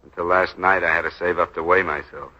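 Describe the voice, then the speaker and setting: An actor playing a brash, guilt-ridden young bodyguard in a 1940s radio drama, wry and needling. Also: male voice, low-pitched, steady tone, brisk, close to an everyday voice. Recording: dull lo-fi 1940s radio broadcast.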